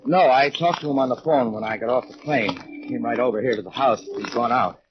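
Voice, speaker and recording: A man's voice: mid-range voice, a little fast, close to an everyday voice. An actor playing a desperate, wronged American man in a 1940s radio drama, speaking earnestly and insistently as he fights to be believed. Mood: bitter, insistent. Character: desperate, wronged man trying to prove his identity. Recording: muffled, noisy old radio recording.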